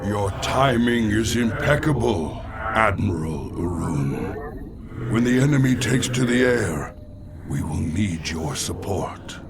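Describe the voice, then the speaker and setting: A man's voice: on the low side, animated, creaky, full character voice. A man playing a grave, solemn alien warrior leader in a video game, speaking in a portentous way. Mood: calm, commanding. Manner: slow, solemn, portentous delivery. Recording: heavy background noise and game ambience.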